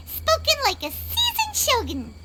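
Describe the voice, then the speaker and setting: A woman voicing a small, excitable fairy companion in a video game. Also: unhurried, heavy background noise, exaggerated voice. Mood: chirpy. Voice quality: squeaky, childlike. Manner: exclamatory, informal, playful. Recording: loud music behind.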